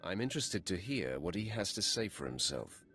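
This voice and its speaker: An actor playing a cold, ruthless Sith warrior in a video game, speaking in a curt, commanding, threatening way. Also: male voice, low voice, sing-song, normal speed, sharp tone, soft background music, heavily acted. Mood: cold, assured. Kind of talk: cinematic video game acting.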